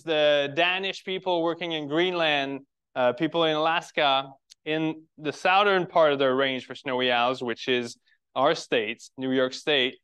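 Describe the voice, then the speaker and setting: A man's voice: a little high, fairly bright tone, clean tone. A man in his forties from Quebec with a French-Canadian accent, giving a friendly lecture to a birding club with rhetorical questions and restarted phrases. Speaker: biologist giving a public talk. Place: lecture room.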